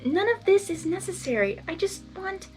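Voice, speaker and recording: A woman voicing a shy, gentle young female pony, speaking softly and timidly. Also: very high-pitched, very animated, normal speed, soft background music, pitched above the performer's own voice. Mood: hushed. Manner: soft, timid, hushed. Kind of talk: fan fiction reading.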